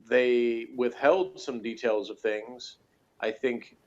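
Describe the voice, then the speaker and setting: A man's voice: lowish voice, lo-fi sound. A man co-hosting a TV review show in casual discussion, explaining things in a rambling way.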